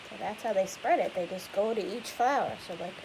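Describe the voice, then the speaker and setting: A woman's voice: medium pitch, a little fast, darkish tone, poor audio. A young woman teacher reading a picture book aloud to children, chatty and explanatory, stopping often to ask questions. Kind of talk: read-aloud lesson for children. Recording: faint hiss.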